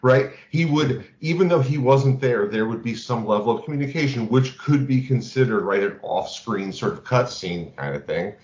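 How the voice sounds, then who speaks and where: A man's voice: medium pitch, lively intonation, normal speed, few pauses, darkish tone. A man co-hosting a podcast, casually discussing tabletop games as a game master and often checking in with "right?". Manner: peppers talk with "right?" check-ins. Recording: dull lo-fi, roomy echo.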